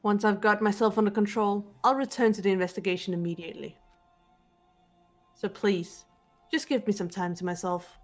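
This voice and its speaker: A woman's voice: medium pitch, steady tone, faint music behind, lightly acted. A woman voicing a composed, guarded, analytical teenage girl detective in a fan-dubbed game. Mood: firm, controlled. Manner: measured, reserved, matter-of-fact delivery. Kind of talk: fan voice acting of a visual novel game.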